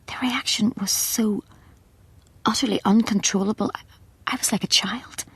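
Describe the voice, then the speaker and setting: A troubled, sceptical Irishwoman in a radio drama. Her voice is extremely breathy and husky with a creaky edge, recorded dry, and she speaks bluntly at an ordinary female pitch. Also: steady tone, normal speed, no echo, in a whisper. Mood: numb, sorrowful. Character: distressed, sceptical, blunt woman accompanying a man to die. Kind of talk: radio play acting with first-person narration.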